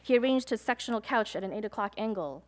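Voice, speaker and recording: A young adult woman, a poet, reading her poems aloud at a live event, measured and deliberate, with chatty, hesitant asides between pieces. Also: a little high, animated. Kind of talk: poetry reading with casual asides to an audience.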